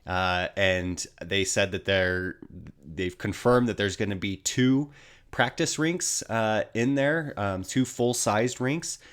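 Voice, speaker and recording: A man's voice: on the low side, slow pace, fairly bright tone, clean tone. An American man getting over a cold, slightly nasal, giving casual hockey news commentary in a hesitant, rambling way.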